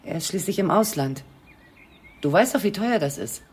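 A woman's voice: fairly low pitch, lively intonation, normal speed, a little mellow, husky. An actress playing an anxious, evasive middle-aged mother in a radio crime drama, with a natural, nervy, placating manner. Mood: evasive, tense. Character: anxious, evasive small-town mother and cat owner.

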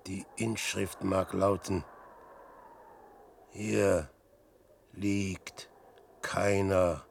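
An actor playing a despairing, boastful old man facing death, declaiming verse in a brooding, theatrical radio drama style with a gravelly, husky voice. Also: low-pitched, flat delivery, extremely slow, slight background noise, full character voice. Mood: mournful resignation. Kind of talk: 1960s German radio drama verse acting.

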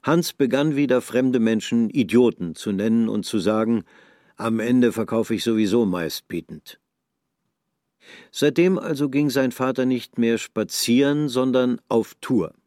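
A man narrating a literary radio drama in a sober, measured manner. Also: medium pitch, warm tone, dry recording, studio quality.

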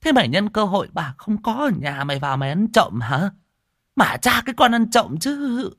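A man voicing a gruff, foul-mouthed old woman of about seventy who scolds loudly but is kind underneath. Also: sits high, sing-song, moderate pace, dry recording, studio quality, voice pushed up, exaggerated voice. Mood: furious. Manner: scolding, cursing.

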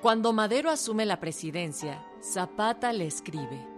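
A woman's voice: mid-range voice, lively intonation, moderate pace, lightly acted. A woman narrating a scripted historical radio drama. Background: music.

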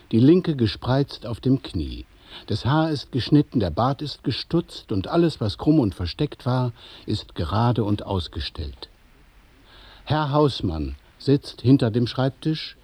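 A male narrator of a radio drama with a mellow, rough-edged voice at medium pitch. He sets out each small action precisely, with measured detachment. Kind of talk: scripted radio play narration of stage actions.

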